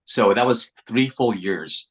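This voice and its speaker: A man's voice: fairly low pitch, lively intonation, takes it slowly, fairly bright tone, husky. A young adult actor from Canada whose first language was Mandarin, talking earnestly and reflectively in an interview, often restarting his words. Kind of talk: casual interview chat.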